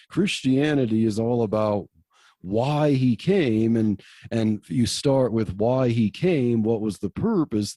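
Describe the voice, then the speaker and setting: A man's voice: medium pitch, mellow, dry recording, studio quality. A man co-hosting a podcast, explaining theology in a casual, eager way with some stammering. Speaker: podcast co-host.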